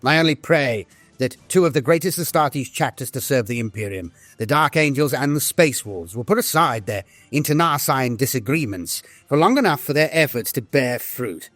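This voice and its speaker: A man playing a grave veteran military officer, reading a war journal in a formal, measured, dramatic style. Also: medium pitch, expressive, a bit bright, no room sound, faint music behind, close to an everyday voice. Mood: grave, hopeful. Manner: solemn, formal, measured delivery. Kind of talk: scripted dramatic audio-drama narration.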